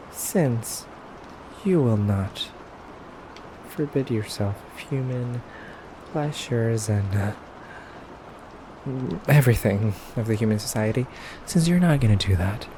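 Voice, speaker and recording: A man playing an effeminate vampire interrogator in an ASMR roleplay, speaking softly and deliberately with teasing, condescending menace and breathy pauses. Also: medium pitch, background noise. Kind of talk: ASMR roleplay, soft intimate acting.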